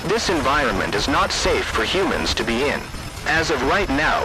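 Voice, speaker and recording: A man reading scripted emergency alert announcements in a formal, deliberate manner. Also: medium pitch, low-quality recording. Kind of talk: scripted emergency broadcast narration.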